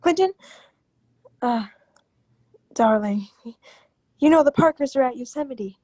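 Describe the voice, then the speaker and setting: A woman's voice: high voice, animated, measured pace, mellow, no echo. A woman playing an anxious, secretive politician's wife in a radio melodrama, speaking hesitantly and breaking off her sentences. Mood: placating. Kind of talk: old-time radio drama acting.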